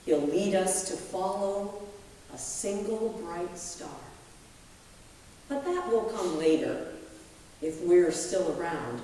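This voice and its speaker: A woman pastor reading a poem aloud in a deliberate, measured way with dramatic pauses, recorded in a large reverberant hall. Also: on the low side, expressive, mellow, a little hiss or hum. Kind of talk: poetry reading from the pulpit. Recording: very echoey hall, distant microphone.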